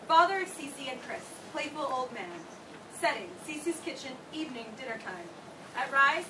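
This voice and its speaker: A woman reading stage directions as the narrator of a scripted play. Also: high voice. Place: theater stage, recorded from the audience. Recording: distant, echoey, noisy live theater recording.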